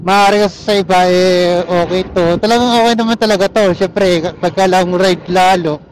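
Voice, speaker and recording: A Filipino man with a high-pitched voice talks in a casual, rambling vlog style, slipping easily between Tagalog and English. He speaks into a helmet mic while riding, with faint vehicle and road noise around his voice. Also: fairly even intonation, moderate pace, poor audio. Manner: easygoing Taglish code-switching. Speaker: motorcycle vlogger. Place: outdoors on a motorcycle, inside a helmet. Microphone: helmet intercom or action camera mic.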